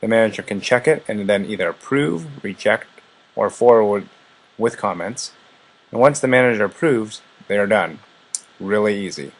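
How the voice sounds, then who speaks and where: A man narrating a scripted software product demonstration as its presenter. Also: on the low side, normal speed.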